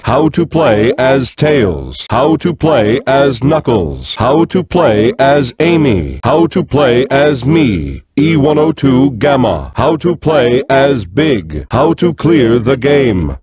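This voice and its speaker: Emotionless game combat robot: mid-pitched, slow, measured and stiff. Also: male voice, a crowd in the background. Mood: flat, procedural. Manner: stiff, measured, monotone-phrased machine delivery. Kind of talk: video game character voice lines.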